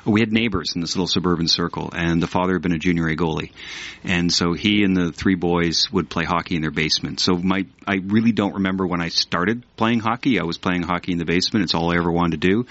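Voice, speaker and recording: Very deep-voiced Canadian man, wry. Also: a little fast, fairly bright tone, dry recording. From Ontario, Canada. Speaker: panel guest, writer and math teacher. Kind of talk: casual storytelling on a panel. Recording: muffled radio studio sound.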